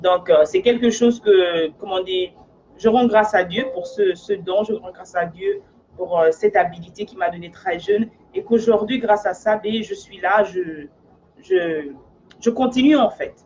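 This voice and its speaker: A woman's voice: mid-range voice, measured pace, darkish tone, lo-fi sound, a little hiss or hum. A woman from Africa who works as a life coach, talking in an earnest, casual monologue and often checking in with her listener.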